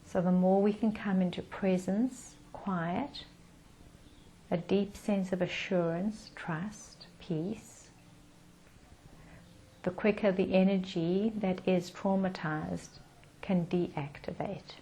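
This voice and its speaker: Meditation guide, female: lowish, very slow, soothing delivery, faint noise behind the voice. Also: poor audio. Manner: calm, soothing, with gentle pauses.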